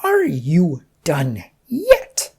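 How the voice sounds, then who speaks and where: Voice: mid-range voice, wildly swooping pitch, drawn-out words, warm tone, husky, pitched above the performer's own voice, exaggerated voice. A storyteller voicing a petulant, bossy, childish male office boss who complains indignantly. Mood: suspicious.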